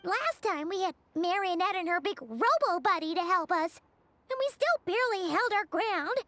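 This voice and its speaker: A tiny floating fairy sidekick from an anime game. The voice is extremely high, childlike and squeaky, swinging up and down dramatically, with some background noise. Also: female voice, lively intonation, normal speed, bright, forceful tone, full character voice. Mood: curious, puzzled. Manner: refers to self in third person, dramatic. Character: tiny childlike floating fairy companion, excitable, easily spooked.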